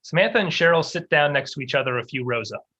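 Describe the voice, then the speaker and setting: A reader delivers screenplay action lines as narration. The voice is mid-pitched, husky and sharp-edged. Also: lively intonation, quick pace, lo-fi sound. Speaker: stage-direction reader. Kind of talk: scripted narration of screenplay directions.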